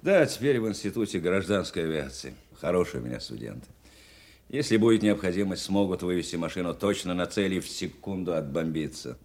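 An actor playing an ageing, genial but exacting war veteran turned teacher in an audio drama, with a hearty, wry manner. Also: male voice, deep voice, animated, fairly quick, darkish tone. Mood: hospitable. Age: older.

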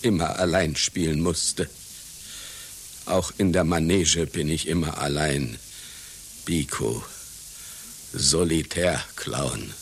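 A man's voice: extremely low pitch, slight background noise, exaggerated voice. An actor playing an anxious, self-pitying old circus clown in a satirical radio play, brooding and theatrical in his delivery. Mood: mournful, self-pitying. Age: older. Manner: theatrical, brooding, halting, insistent.

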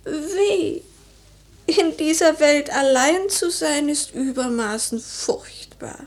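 Radio verse drama: impulsive, melancholy lady of the house. High register, unhurried, pensive and theatrical phrasing. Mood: anguished, brooding. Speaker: radio drama actress in a leading part. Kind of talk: mid-century German verse radio play acting.

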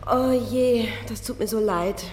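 A woman playing an embarrassed single mother in a radio play, apologetic and gently scolding. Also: on the high side, measured pace, in a large hall, a little hiss or hum, close to an everyday voice. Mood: apologetic, flustered.

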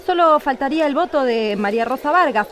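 An actress playing an excitable village radio reporter doing live announcer patter in a radio drama, with an Argentine accent. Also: female voice, sits high, a little fast, a little hiss or hum. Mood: excited, announcing.

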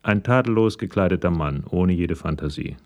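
A man's voice: sits low, lively intonation, moderate pace, a little mellow. A man narrating a scripted radio crime drama in a matter-of-fact manner.

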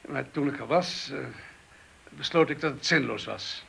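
An actor playing an arrogant middle-aged surgeon being questioned by the police, scornful and dismissive, in a radio play. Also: male voice, mid-range voice, moderate pace, vocal fry, sharp tone, husky, exaggerated voice. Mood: haughty. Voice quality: haughty, scornful.

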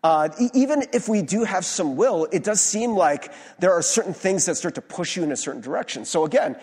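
A male pastor giving a conversational sermon in a church, hoarse-voiced, informal and self-deprecating, with many fillers and restarts. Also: high voice, animated, brisk, a little mellow. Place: church sanctuary. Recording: long reverb of a large hall.

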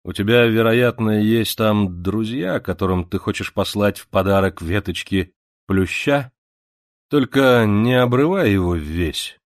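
A man's voice: fairly low pitch, unhurried, no room sound, studio quality. A man reading aloud who voices a weary, ironic male revolutionary with a lazy, drawling manner. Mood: mocking. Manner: drawling, mocking, then gentle.

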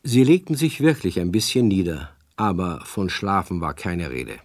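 A man narrating a children's radio play as a calm storyteller, reading scripted narration. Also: low-pitched, normal speed, no room sound. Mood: storytelling.

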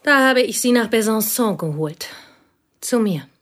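An actress in a radio play playing a bitter, possessive spinster, speaking in intense, deliberate short phrases with a breathy voice. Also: female voice, on the high side, lively intonation, fairly bright tone. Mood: proud, insistent. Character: bitter, possessive spinster devoted to her girlhood friend. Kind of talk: radio play acting in German.

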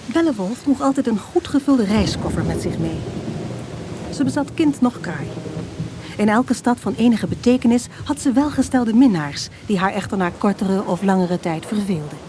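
A woman's voice: mid-range voice, animated, normal speed, background noise, natural voice. An actress playing a wry female storyteller, narrating a radio drama in a theatrical manner. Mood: wry, confiding.

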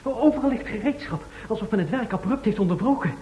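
High, stammering, timid traveller. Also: male voice, expressive, quick pace, lo-fi sound. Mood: anxious. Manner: stammering, hesitant, anxious. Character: timid, jumpy male traveller from the far future. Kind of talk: radio play acting. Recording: roomy, faintly noisy old radio recording.

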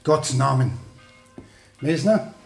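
Flustered Bavarian village priest, echoey room. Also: male voice, medium pitch, slow pace, creaky, a little mellow, faint music behind. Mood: resigned, resolute. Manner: dithering, perplexed. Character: flustered, helpless village priest, thirty years in office. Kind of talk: 1960s German radio play acting.

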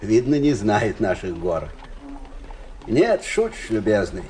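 An actor playing a kindly older army staff captain with folksy, familiar speech and excitable outbursts in a radio play. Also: male voice, fairly low pitch, slow, slight background noise, full character voice. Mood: easygoing, folksy.

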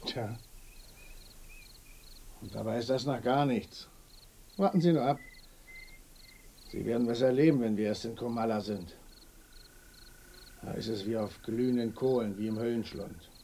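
An actor playing a sardonic, fatalistic village muleteer in a radio play, speaking in a wry, cryptic way. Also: male voice, medium pitch, warm tone, slight background noise, lightly acted. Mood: ominous, matter-of-fact. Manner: wry, cryptic, drawn-out interjections. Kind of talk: German radio play acting.

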